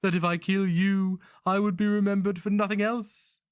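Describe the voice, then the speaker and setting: A man's voice: high voice, little pitch movement, normal speed, clear, resonant tone, no echo, studio quality, voice pushed up, natural voice. A man voicing a haughty, contemptuous monstrous sorcerer grand master in archaic, formal speech for a fantasy story. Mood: haughty, menacing.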